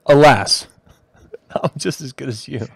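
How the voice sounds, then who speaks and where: A man of medium pitch reads essay prose aloud with expression. The sound is dry, with no room echo. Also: a little slow, creaky, slightly rough. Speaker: co-host reading an essay aloud.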